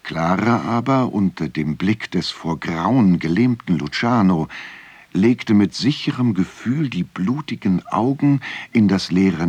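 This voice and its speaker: Grave male storyteller, extremely deep, unhurried, dark legend narration, close dry sound. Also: husky, dry recording, full character voice. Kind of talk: scripted narration of a dark legend, radio drama.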